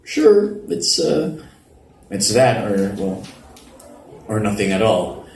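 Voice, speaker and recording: A man playing a lovestruck, halting young man in a live reading of a romance story. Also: lowish voice, sing-song, vocal fry, a little mellow, exaggerated voice. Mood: awkward, hesitant. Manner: halting, self-deprecating. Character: lovestruck, hesitant young man pining for his friend. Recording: echoey live venue, audible background noise.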